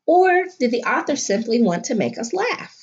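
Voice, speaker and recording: A woman teacher reading a picture book aloud to children and talking them through it, with expressive, dramatic delivery and drawn-out emphasis. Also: fairly high pitch, highs cut off, slight background noise. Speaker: elementary school teacher.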